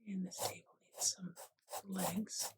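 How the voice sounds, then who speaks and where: A softly whispering ASMR host of unclear sex narrates a drawing gently and unhurriedly in short murmured phrases, close to the microphone. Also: mid-range voice, little pitch movement, slow pace. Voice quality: soft, breathy whisper. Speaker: ASMR art channel host. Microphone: close USB condenser microphone. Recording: noisy close mic with clicks and pen scratching. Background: hiss, clicks and writing sounds.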